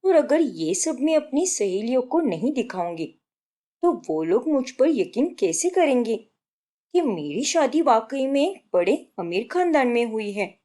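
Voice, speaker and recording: A woman's voice: fairly high pitch, sing-song, measured pace, warm tone. A woman voicing a showy, boastful young newlywed daughter-in-law in a story, insistent and bragging, dropping in English words. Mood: indignant, boastful.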